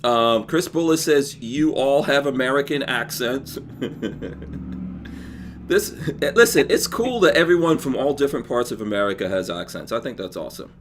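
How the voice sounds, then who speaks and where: A man's voice: medium pitch, expressive, normal speed, slight background noise. A man with a New York accent hosting a livestreamed podcast, chatting casually and laughing often. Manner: laughs often, loose and joking. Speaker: podcast host.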